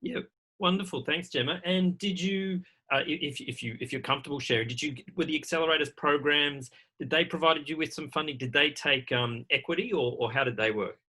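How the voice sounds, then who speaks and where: Male event host for online Q&A: strongly bright voice, courteous, informal delivery. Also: fairly high pitch, fairly quick. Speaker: event host reading audience questions. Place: online video call.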